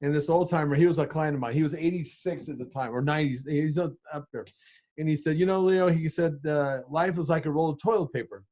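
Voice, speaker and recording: A man in his sixties hosts a casual podcast chat. His voice sits a little high for a man, and he talks bluntly, rambling and dropping curse words as he goes. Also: fairly quick, a little mellow. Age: 60s. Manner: blunt, swears freely, rambling. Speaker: podcast host.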